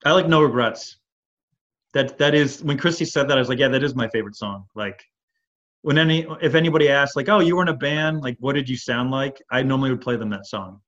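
A man's voice: mid-range voice, fairly quick, a bit bright, dull audio. A man with a Midwestern Michigan accent and a slightly nasal voice, chatting casually as an interview guest and rambling through stories with many fillers. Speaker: band singer and guitarist, guest.

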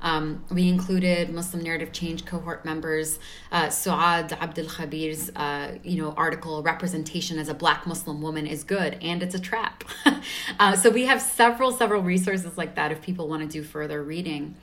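A woman with a low voice answers questions as a guest. She is articulate and upbeat, laying out her points with open enthusiasm. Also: fairly even intonation, moderate pace, a bit bright. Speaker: guest representing an advocacy organization.

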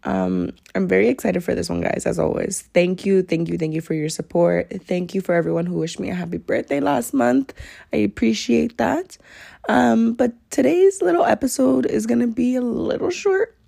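A young woman hosting her own podcast in a sincere, chatty solo talk, her voice a little raspy today, with frequent "um"s. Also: medium pitch, animated, normal speed, warm tone. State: slightly raspy voice. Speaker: podcast host.

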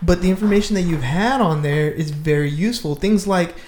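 A woman's voice: fairly low pitch, a little fast, darkish tone. A woman hosting a casual interview, asking informal, rambling questions in a roomy, echoing space. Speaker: podcast interviewer, real estate agent.

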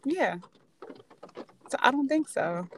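A woman's voice: high voice, expressive, slow, fairly bright tone, slight background noise, low-quality recording. Rambling casual woman in her thirties. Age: 30s. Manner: loose, rambling, restarts lines. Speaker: podcast co-host. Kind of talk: casual chat.

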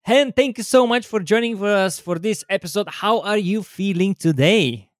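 A man hosts a video call interview and asks his questions with eager enthusiasm. His voice sits somewhat high for a man, and the sound is close and dry with no room echo. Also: moderate pace. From Bulgaria. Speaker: host. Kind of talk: casual interview conversation.

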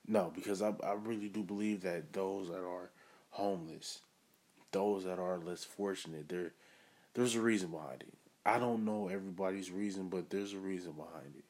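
A man's voice: deep voice, expressive, normal speed, darkish tone. A man hosting his own podcast, giving an earnest, self-assured motivational monologue. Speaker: podcast host.

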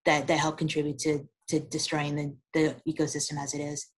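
A woman who is a guest expert on a podcast, explaining in a measured, steady way with some fillers. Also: sits low, husky. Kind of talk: casual podcast conversation.

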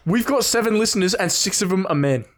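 A man co-hosting a podcast, teasing his friend with crude jokes and laughing often. His voice sits fairly high for a man and swings up and down a lot. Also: expressive, fast talker. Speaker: podcast co-host. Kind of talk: casual banter.

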